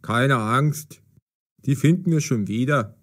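An actor playing a gruff, menacing pursuer in an audio drama. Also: male voice, mid-range voice, slow, fairly bright tone. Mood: confident. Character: gruff, menacing male pursuer; mocking bystander.

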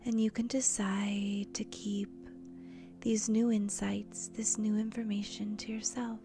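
A woman leading a guided meditation in a soft, breathy, near-whispered voice, gentle and soothing, with long pauses between short phrases. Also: mid-range voice, flat delivery, over music. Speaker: meditation guide, channel host.

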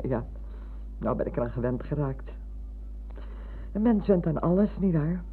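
An older actress playing a chatty, fussy, sentimental retired schoolmistress who rambles and interrupts herself in a radio play. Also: female voice, deep voice, expressive, moderate pace, a little hiss or hum. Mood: reminiscing. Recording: muffled phone-like old radio recording.

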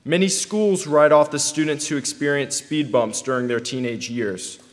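A young American man in his late teens delivering a prepared commencement speech in a deliberate, deadpan way. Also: on the high side. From North Carolina, United States. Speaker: student graduation speaker. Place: podium on a stage in a large hall. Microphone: podium microphone. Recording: echoey large hall, long reverb.

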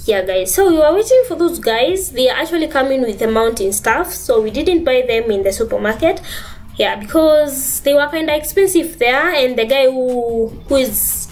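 A woman's voice: high voice, lively intonation, normal speed, a little mellow. A woman with a Kenyan accent, vlogging casually on her phone in her living room, chatty and saying "guys" and "yeah" a lot. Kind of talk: casual vlog narration.

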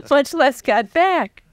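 An American woman in her forties interviewing onstage in a conversational, animated way, thinking aloud as she goes. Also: sits high, extremely slow. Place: stage before a live audience. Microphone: large foam-covered microphone on a stand close by.

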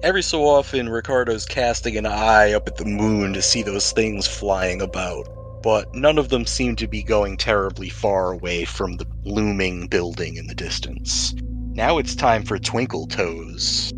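A man's voice: fairly low pitch, measured pace, low-quality recording. A man speaking as game master and host, narrating and bantering in a casual, sweary way. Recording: music behind the voice. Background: music.

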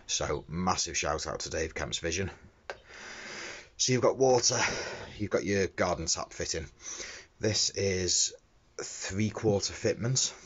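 A man's voice: low voice, slow pace, fairly bright tone, breathy edge. A middle-aged man giving a casual hands-on how-to talk as a tradesman, recorded on a handheld phone in a small enclosed work space. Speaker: window cleaner demonstrating a fitting. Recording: dull phone recording with background noise.

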